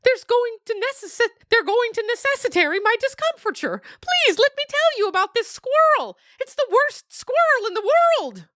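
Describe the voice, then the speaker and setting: A woman's voice: very high-pitched, very animated, moderate pace, few pauses, bright, forceful tone, no echo, clean studio sound, pitched above the performer's own voice, full character voice. A woman voicing a frightened female dog who whines and begs in a storybook. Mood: pleading. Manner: whiny, pleading.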